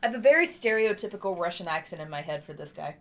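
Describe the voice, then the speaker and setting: Bright-voiced woman reading and chatting through a game. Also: fairly low pitch, lively intonation, quick pace. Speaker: host playing a board game on a livestream. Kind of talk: casual play-along, reading case text aloud.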